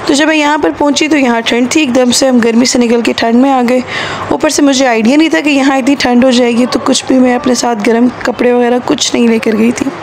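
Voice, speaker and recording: A woman in her thirties with a Pakistani Urdu accent, narrating her road-trip vlog in a chatty voice-over, her voice hoarse from a sore throat, and repeating phrases for emphasis. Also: a little high, noisy background. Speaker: travel vlogger.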